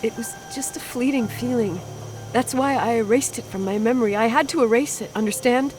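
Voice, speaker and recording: An actress playing a distraught grown woman haunted by a repressed memory, speaking in a hushed, halting, anguished way in a dramatic video game. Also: on the high side, natural voice. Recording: clearly noisy ambience behind the voice.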